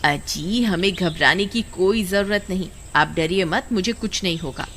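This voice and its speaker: A woman's voice: lowish voice, measured pace, slight background noise. A woman voicing a confident village wife in a cartoon, soothing and brushing off fear. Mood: reassuring. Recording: loud music under the voice.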